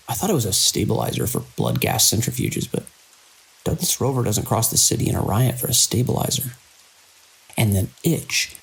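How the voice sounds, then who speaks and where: An actor playing a weary but measured hospital director in an audio drama, speaking with authority as he reasons things through. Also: medium pitch, vocal fry, slightly rough, full character voice, low-quality recording. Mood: pondering, uneasy. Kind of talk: radio drama acting.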